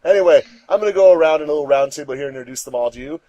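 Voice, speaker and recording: A man's voice: a little high, rapid-fire, warm tone, no echo, dull audio. Male host with a mid-range voice. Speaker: host of a fandub commentary track. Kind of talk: casual introductions and chat.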